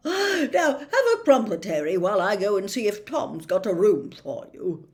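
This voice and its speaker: A woman's voice: mid-range voice, big pitch swings, normal speed, a little mellow. A woman voicing a jovial, blustering middle-aged male government minister in a storybook reading, effusive and given to hemming. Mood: hearty. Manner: effusive, hesitant, hemming and throat-clearing. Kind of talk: audiobook character reading.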